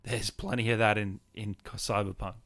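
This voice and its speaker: A man's voice: on the low side, steady tone, normal speed, a bit bright, dry recording, studio quality. A man hosting a live gaming stream, chatting casually and answering viewers in an informal, matey way. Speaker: live stream host and gaming content creator. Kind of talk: casual chat, reading and answering viewer comments.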